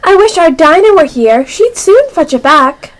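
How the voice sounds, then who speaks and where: A very high, girlish voice from a young female actor plays a polite little girl in an amateur radio play. She is earnest and bewildered by everything around her. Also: very animated, normal speed, rough recording. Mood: wistful.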